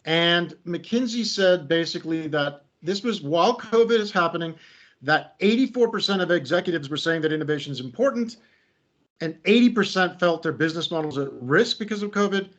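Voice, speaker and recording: A middle-aged American man leading a webinar as a creativity coach, talking through slides in a loose, joking, conversational way. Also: on the high side. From United States. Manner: conversational, lightly joking about himself. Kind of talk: online presentation walking through slides. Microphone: video call. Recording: slightly muffled video call audio.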